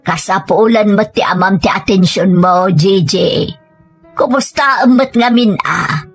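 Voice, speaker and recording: Concerned, gently nagging Filipina aunt played by an actress. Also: female voice, mid-range voice, measured pace, slightly rough, faint music behind. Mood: gently urging. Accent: northern Philippine. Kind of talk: amateur audio drama acting.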